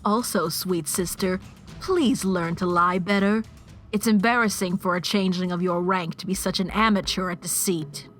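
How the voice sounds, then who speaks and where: A woman's voice: fairly low pitch, normal speed, faint music behind, lightly acted. A woman playing a haughty, villainous young changeling queen in a fan audio drama, theatrical and condescending as she lords it over her younger sister. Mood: mocking, condescending.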